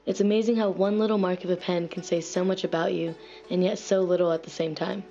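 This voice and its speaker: Low, creaky-voiced young American woman narrating. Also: steady tone, fast, mellow. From United States. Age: young adult. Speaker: student narrator of a personal essay. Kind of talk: scripted personal narration, reflective. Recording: dull lo-fi voice-over recording. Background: music playing faintly behind the voice.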